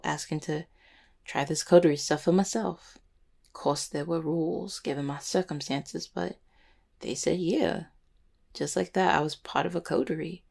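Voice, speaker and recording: A woman plays an anxious, guilt-ridden cupid with a low-set, gravelly voice. The delivery is slangy and halting, full of swearing and raw feeling, in an intimate audio drama style. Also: darkish tone, full character voice. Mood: reflective, wistful. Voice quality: husky, gravelly. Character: anxious, guilt-ridden cupid who helps clients, streetwise. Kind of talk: intimate audio roleplay acting.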